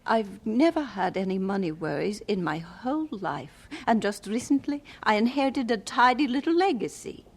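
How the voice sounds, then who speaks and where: An actress playing a wealthy elderly widow in an audio drama, genteel and deliberate, devout and quietly amused by the young. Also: female voice, mid-range voice, very animated, husky. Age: older. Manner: genteel, deliberate, addresses others as young people.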